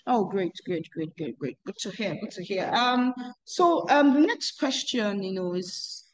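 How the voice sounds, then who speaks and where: A woman's voice: medium pitch, lively intonation, a little slow, a little hiss or hum, poor audio. A woman hosting an interview podcast, asking questions hesitantly with many "um"s and repeated words. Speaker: podcast host.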